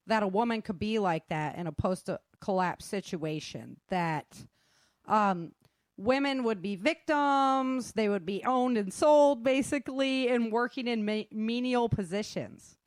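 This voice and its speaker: A woman's voice: a little high, no echo. A middle-aged woman talking informally as a self-defense instructor, acting out remarks as she tells stories. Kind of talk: casual informal talk.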